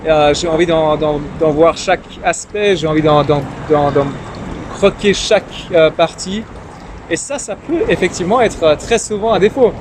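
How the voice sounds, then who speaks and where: Young man, Iranian-born, Farsi first language, slightly high voice, hesitant, repeats words, loud traffic behind. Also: moderate pace. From Iran. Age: young adult. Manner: timid. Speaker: interview guest, young politician. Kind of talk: casual interview conversation. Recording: noisy street and traffic ambience.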